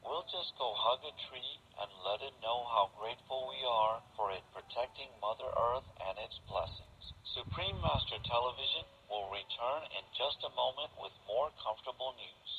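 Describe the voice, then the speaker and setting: Bright-voiced male newsreader over noisy shortwave. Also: medium pitch, normal speed, few pauses. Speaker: news presenter. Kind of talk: scripted news narration. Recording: noisy muffled shortwave radio capture.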